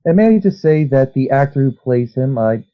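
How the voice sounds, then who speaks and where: A man's voice: mid-range voice, expressive, normal speed. Rambling man guest, dull lo-fi sound. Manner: rambling, analytical, trailing restarts. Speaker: guest writer. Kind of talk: casual interview chat.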